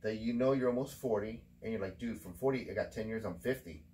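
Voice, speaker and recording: A man's voice: fairly low pitch, quick pace, darkish tone, low-quality recording. A man in his forties hosting a casual podcast chat, blunt and profane, lecturing his co-host with insistent repetition. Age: 40s.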